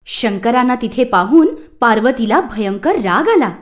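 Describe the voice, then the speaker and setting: High, animated woman storyteller. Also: a little slow. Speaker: narrator. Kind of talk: scripted children's mythological storytelling.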